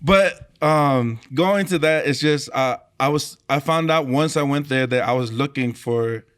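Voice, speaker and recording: A man whose first language is Tongan, speaking in a soft-spoken, easygoing way during a casual interview. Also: a little high, unhurried, clean tone. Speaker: choir leader, band member.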